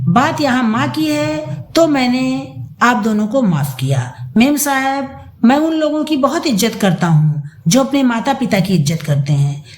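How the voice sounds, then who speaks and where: A woman's voice: high voice, slight background noise. A woman voicing a proud, irritable male taxi driver in an audio story, blunt and stern.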